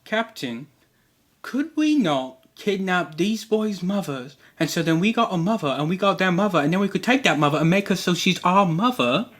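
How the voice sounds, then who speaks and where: A woman playing a simple, soft-hearted pirate henchman in an audio drama, talking in an earnest, childlike ramble. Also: on the low side, lively intonation, moderate pace. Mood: eager.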